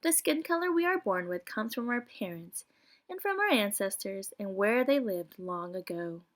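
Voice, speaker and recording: Lively woman reading a picture book aloud. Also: mid-range voice, moderate pace. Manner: clear explanatory reading with questions posed to children. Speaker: preschool teacher reading aloud. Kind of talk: children's nonfiction picture book read-aloud.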